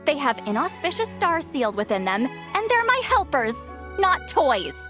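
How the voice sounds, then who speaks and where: A woman's voice: high voice, sing-song, moderate pace, music in the background. A woman voicing a prim, easily flustered noble lady in a video game, who sighs and protests fussily. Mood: exasperated. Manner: exasperated sighs, fussy and defensive. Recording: game score playing behind.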